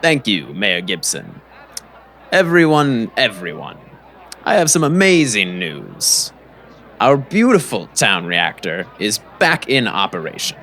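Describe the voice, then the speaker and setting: A man's voice: on the low side, very animated, takes it slowly, bright, forceful tone, heavily acted. A man playing a grandiose, oratorical town businessman in an audio drama. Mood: grand, upbeat. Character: grandiose, showy town businessman announcing good news.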